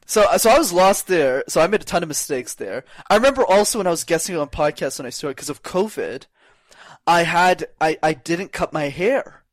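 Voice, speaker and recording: A young man talking as a speaking coach in a podcast conversation, energetic and acting out quoted voices, with casual words like "man" and "brother". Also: a little high, normal speed, no room sound. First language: not English; speaks French as second language.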